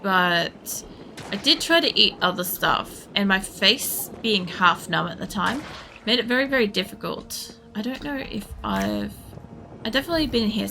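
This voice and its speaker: A woman streams a game over clearly noisy background ambience. Her mid-pitched voice has a lot of vocal fry, and she thinks aloud in casual, blunt, sweary commentary. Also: wildly swooping pitch, a little slow, pushed and bright. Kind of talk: casual live gameplay commentary, rambling chat.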